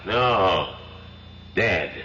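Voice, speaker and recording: A man's voice: sits low, lively intonation, extremely slow, full character voice. A man playing a cautious, grim police sergeant in a 1970s radio drama. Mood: grim, hushed. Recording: muffled, echoey, noisy old radio.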